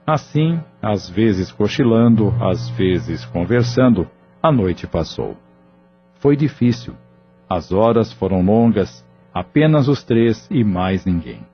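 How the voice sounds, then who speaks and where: Casting: solemn male narrator, low voice, dull recording with faint music. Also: sing-song, takes it slowly. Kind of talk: scripted audio drama narration.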